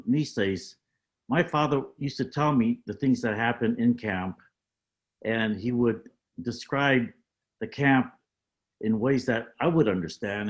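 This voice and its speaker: Halting older man recalling his past. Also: fairly low pitch. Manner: measured, halting, with many fillers. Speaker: panel guest. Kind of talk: reflective storytelling on a panel.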